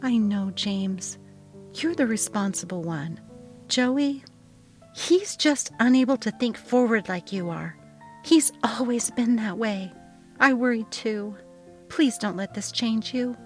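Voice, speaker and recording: Story role: worried middle-aged mom woman performing, emotional and anxious, lifted pitch, music underneath. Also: animated, slow pace, darkish tone. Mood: reassuring. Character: worried, resentful middle-aged mother Elle.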